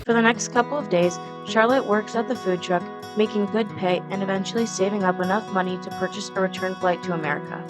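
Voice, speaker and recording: A woman's voice: medium pitch, steady tone, fast talker, hardly any pauses. A woman reading scripted narration for an amateur radio play. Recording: music playing clearly behind the voice.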